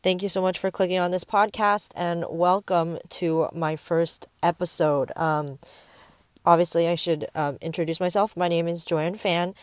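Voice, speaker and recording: A woman's voice: lowish voice, steady tone, normal speed, no echo. A nineteen-year-old woman from the Bay Area hosting a casual solo podcast, rambling with lots of fillers and getting easily sidetracked. She records in a parked car on earbud mics. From San Francisco Bay Area. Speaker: podcast host. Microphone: wired earbuds mic into a tablet.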